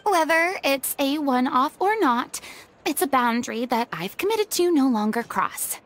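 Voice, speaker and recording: Game role: haughty young former star, high-pitched, theatrical, easily indignant, music underneath. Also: female voice, animated, a little slow, a bit bright. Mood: solemn, resolute. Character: proud, theatrical young woman, retired star performer. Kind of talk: scripted video game voice acting.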